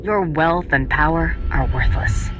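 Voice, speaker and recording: A woman's voice: deep voice, a little slow, music in the background. An actress playing a tough, businesslike female bounty hunter in a video game, terse and sardonic. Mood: scornful. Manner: dry, terse. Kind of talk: video game character acting.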